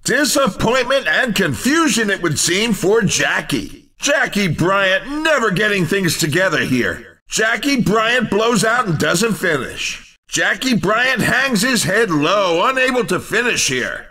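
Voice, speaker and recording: High-pitched, excitable racing game announcer. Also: male voice, unhurried, distant mic, full character voice. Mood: mock dismay. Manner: theatrical, emphatic, exclamatory. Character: excitable male arcade racing game announcer, showy and quick to tease. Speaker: race commentator.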